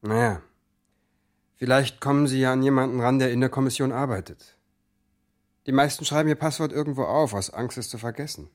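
A man playing a gruff, curt and crude young computer hacker in a radio play. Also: mid-range voice, a little fast, pauses often, natural voice. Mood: curt, dismissive. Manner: curt, dismissive.

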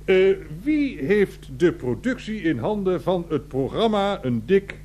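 Casting: stern, negative quizmaster on a committee, radio satire, highish voice with very lively intonation. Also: male voice, a little hiss or hum. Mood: stern, pedantic. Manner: halting, officious, repeats words. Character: pedantic, stern male committee examiner, negative and officious. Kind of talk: 1990s satirical radio play.

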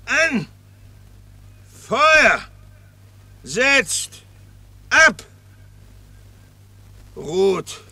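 An actor in a German radio play, playing a stern drill sergeant who barks out clipped rifle commands. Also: male voice, very high-pitched, sing-song, very slow, long pauses, pushed and bright, slightly rough, dry recording, heavily acted. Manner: barking, clipped military commands.

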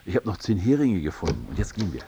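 Brisk, low-voiced buddy. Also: male voice, very animated, creaky, noisy background, full character voice. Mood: brisk, confident. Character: practical, eager male poacher buddy. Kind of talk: German radio drama acting.

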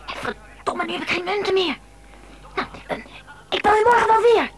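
A high-pitched teenage schoolgirl, confiding and impulsive. She swings between defiance and tenderness in intimate radio-play phone monologues, lonely underneath it all. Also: moderate pace. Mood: annoyed. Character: lonely, moody seventeen-year-old schoolgirl confiding by phone.

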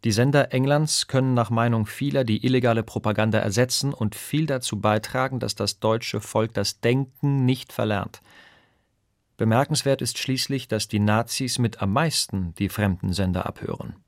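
A man with a creaky, gravelly, medium-pitched voice reads a historical text from a script. The recording is dry and close. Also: moderate pace, no room sound. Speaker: reader of historical quotations.

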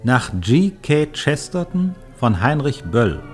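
A man's voice: mid-range voice, sing-song, slow pace. A man reading a scripted title announcement for a radio play. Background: music.

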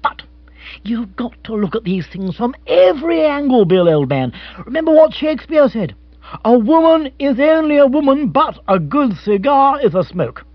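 A man's voice: extremely high pitch, very animated, normal speed, no echo, dull audio, voice pushed up, heavily acted. A man voicing a blustering, hearty, tactless upper-class English husband in a storybook, jovial and pompous. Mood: blustering, consoling.

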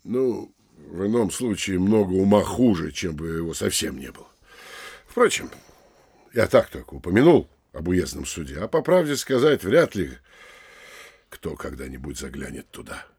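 An actor playing a pompous, anxious middle-aged town mayor in a comedy audio drama, officious and emphatic, with a gravelly, husky voice. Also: male voice, low-pitched, big pitch swings, darkish tone, full character voice. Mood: officious, lecturing. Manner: officious, lecturing, theatrically emphatic with pauses.

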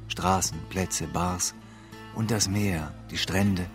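A man's voice: deep voice, fairly even intonation, takes it slowly, over music. An actor playing a weary, embittered homeless man, narrating with brooding reflection in an intimate radio drama. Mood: bleak, grieving. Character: weary, embittered homeless man mourning his best friend.

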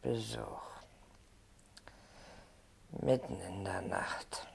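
Slow, gravelly, scornful madame. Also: sits low, darkish tone, heavily acted. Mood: bored, scornful. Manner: languid, disdainful. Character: jaded, irritable lady of the house, scornful. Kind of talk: radio drama acting.

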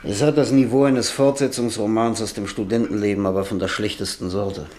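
An actor in a German radio play, playing a weary, irritable middle-aged writer with a sardonic, self-pitying manner. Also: male voice, lowish voice, fairly even intonation, a little fast, a little mellow, slight background noise, natural voice. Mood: weary, gloomy.

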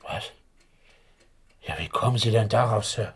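An actor playing an anxious, evasive householder in a radio play, agitated and hesitant, with a husky voice. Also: male voice, lowish voice, measured pace, echoey room. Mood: defensive. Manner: agitated, hesitant, stammering repetitions. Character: anxious, evasive male householder guarding his fortune. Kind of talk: German radio play acting.

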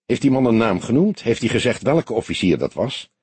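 A man reading the part of a grizzled older police detective in an audiobook, gruff and terse, with curt probing questions. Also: mid-range voice, very animated, brisk, a little mellow, no room sound.

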